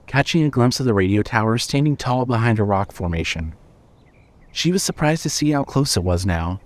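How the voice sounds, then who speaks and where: A man narrating scripted fiction as a storyteller, in a measured way. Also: mid-range voice.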